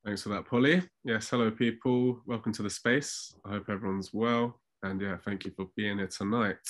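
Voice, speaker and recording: A man with a fairly deep voice hosts a panel over a video call. He sounds nasal and bunged up with a cold as he makes introductions. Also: fairly even intonation, moderate pace. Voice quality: nasal, congested. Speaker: panel chair. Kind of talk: introducing an online panel discussion. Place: indoors at home on a video call. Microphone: video call microphone.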